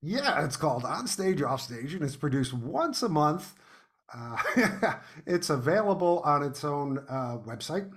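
Thoughtful American man, halting in conversation. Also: a little high, sing-song. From United States. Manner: thoughtful, halting with repeated words. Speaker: guest playwright and podcast host. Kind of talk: casual interview chat.

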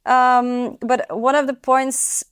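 A woman's voice: sits high, level tone, slow, clear, resonant tone, no room sound, studio quality. A woman hosting a podcast, interviewing her guest in a casual, conversational way. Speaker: podcast host.

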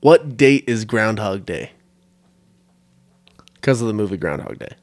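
A person reading out movie trivia questions to a friend in a relaxed chat, often breaking into giggles. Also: mid-range voice, unhurried, dry recording. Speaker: trivia quizmaster.

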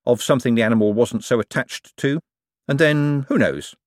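A man voicing a wry, exasperated, fast-thinking man who argues sardonically in an audiobook story. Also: medium pitch, no echo, studio quality, pitched above the performer's own voice, close to an everyday voice. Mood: hopeful, wheedling. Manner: sardonic, argumentative.

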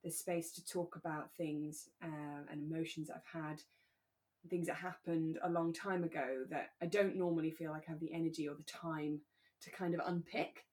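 Candid, slightly low-voiced woman podcaster. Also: steady tone, normal speed, darkish tone. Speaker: podcast co-host. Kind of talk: relaxed conversational podcast discussion.

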